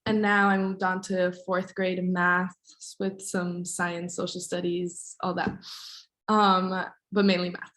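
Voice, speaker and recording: A woman's voice: fairly low pitch, little pitch movement, slow pace, a bit bright. A woman from Argentina, raised in Miami, an elementary school teacher giving a friendly, organized presentation on a video call.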